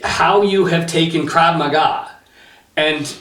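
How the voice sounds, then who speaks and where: Male podcast host, higher voice, rambling questions, recorded far off in an echoey room. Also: fairly even intonation, slow, fairly bright tone. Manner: rambling, loosely phrased questions. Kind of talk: casual interview talk. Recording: roomy sound from a distant mic.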